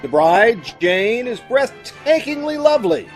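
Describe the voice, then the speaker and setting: A man's voice: very high-pitched, big pitch swings, a little slow, faint music behind, pitched above the performer's own voice, exaggerated voice. A man playing a suave announcer, giving scripted narration for an old-time radio mystery with dramatic flourish.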